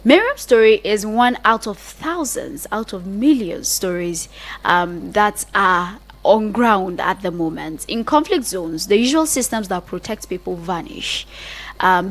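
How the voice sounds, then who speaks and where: A woman's voice: mid-range voice, lively intonation, measured pace. A woman with a Nigerian accent presenting an explanatory radio talk in an earnest, emphatic manner, often repeating phrases to list her points.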